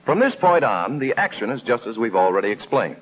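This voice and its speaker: An American man reading scripted instructional narration in the style of a 1950s military training film, explaining in a measured, didactic way with clear emphasis. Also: medium pitch, very animated. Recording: tinny band-limited old film soundtrack, noisy.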